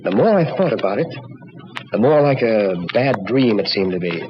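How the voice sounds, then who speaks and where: An actor playing a grave, troubled doctor, speaking with solemn deliberation in an old-time radio drama. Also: male voice, medium pitch, animated, normal speed, lightly acted. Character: grave, troubled doctor haunted by a weird experience. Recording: dull old radio recording with clear noise.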